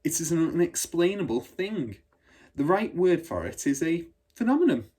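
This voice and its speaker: A kind, cautious storybook schoolmistress, played by a man reading aloud. The voice is a little husky and lilts widely, with patient, measured phrasing as if carefully explaining. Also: fairly high pitch, sing-song, a little mellow. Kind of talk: children's storybook read aloud.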